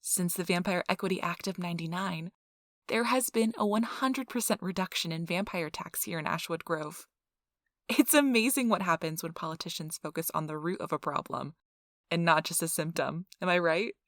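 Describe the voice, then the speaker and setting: A woman performs an earnest, cheerful female donor recruiter in a soft-spoken ASMR role-play. Her voice sits a little low for a woman. She talks in polite, reassuring customer-service patter, and the sound is very dry, with no room echo. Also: normal speed, close to an everyday voice. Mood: upbeat, reassuring. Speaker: donor acquisition interviewer.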